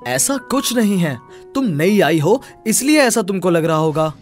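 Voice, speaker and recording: Guy playing blunt dismissive husband, high animated voice, music behind. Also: measured pace. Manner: blunt, insistent. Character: dismissive husband siding with his mother. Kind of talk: acted moral story.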